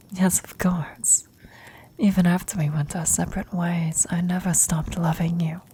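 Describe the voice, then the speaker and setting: A woman playing a tender, serene elderly former adventurer on her deathbed in an ASMR roleplay, speaking in a reflective, breathy whisper. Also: fairly low pitch, little pitch movement, measured pace, mellow, a little hiss or hum, natural voice. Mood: proud, loving.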